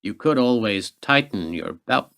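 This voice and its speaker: Man acting smug American official, widely swooping pitch. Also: lively intonation, a little slow, creaky, a bit bright. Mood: dry, sardonic. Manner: sardonic, condescending, theatrical. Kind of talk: radio drama acting.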